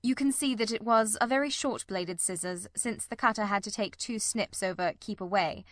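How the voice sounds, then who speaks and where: A confident, analytical detective, voiced by a woman narrator lays out deductions in a precise, lecturing manner. The audiobook sound is dry and close, the pitch in the middle range for a woman. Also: normal speed, dry recording, close to an everyday voice. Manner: didactic, precise. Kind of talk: audiobook character reading.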